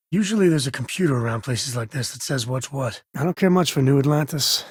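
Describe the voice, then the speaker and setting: A man playing an easygoing space-frontier ranger and devoted dad in a video game, with a husky, slightly rough voice and a folksy, wry manner. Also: mid-range voice, lively intonation, a little fast, no echo, studio quality. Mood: relaxed, wry. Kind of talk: video game character dialogue.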